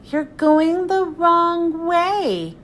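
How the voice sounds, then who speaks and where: A woman voicing a patient, kindly female schoolteacher in a children's storybook, calling out gently and evenly. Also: very high-pitched, sing-song, drawn-out words, slight background noise, voice pushed up, full character voice.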